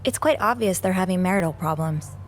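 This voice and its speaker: A woman playing an empathetic, curious young hotel maid in a video game, speaking in an earnest, gentle, thoughtful way. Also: lowish voice, expressive, brisk, slight background noise, lightly acted. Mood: confiding. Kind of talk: naturalistic video game voice acting.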